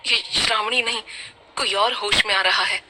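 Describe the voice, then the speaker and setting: A woman voicing a fearful village father in a horror story, giving halting, urgent warnings over music. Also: medium pitch, steady tone, very noisy, voice pushed up, close to an everyday voice. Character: alarmed village father warning others in fear.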